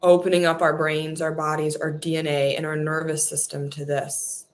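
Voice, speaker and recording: A woman leading a guided affirmation meditation, speaking softly and soothingly with slow, repetitive phrases. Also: low-pitched, little pitch movement.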